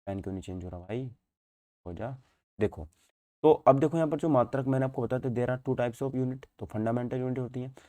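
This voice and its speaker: Male teacher, mellow dark tone, dry close sound, informal lecture delivery with repeated check-ins. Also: medium pitch, a little fast, dry recording. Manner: repetitive, asks and answers own questions, frequent check-in tags. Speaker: physics teacher. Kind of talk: informal online exam-prep lecture in Hindi mixed with English terms.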